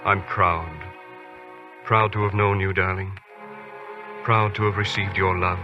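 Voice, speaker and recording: An actor playing an earnest soldier who speaks in a stirring way about the war in a 1940s radio drama. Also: male voice, low voice, level tone, unhurried. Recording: dull old radio broadcast with music.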